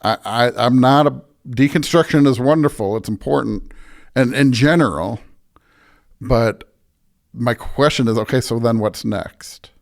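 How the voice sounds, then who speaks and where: A man's voice: medium pitch, measured pace, creaky. An American man speaking as a pastor guest, casual but earnest, repeating phrases for emphasis.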